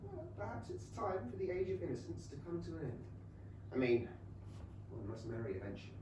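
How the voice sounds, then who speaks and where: An actor playing a boyishly enthusiastic, rich young Regency gentleman with an English accent in a staged comedy reading. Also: on the high side, wildly swooping pitch, fairly quick. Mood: cheerful, affectionate. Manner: eager, gushing. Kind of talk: staged reading of a comic play. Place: small theatre stage. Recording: roomy theatre, distant mic, faint noise.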